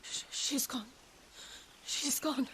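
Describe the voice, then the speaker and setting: A woman's voice: takes it slowly, sharp tone, slight background noise. A woman voicing a despairing, unhinged noblewoman in a video game, with an anguished, volatile delivery. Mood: distraught, despairing. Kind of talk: dramatic video game voice acting.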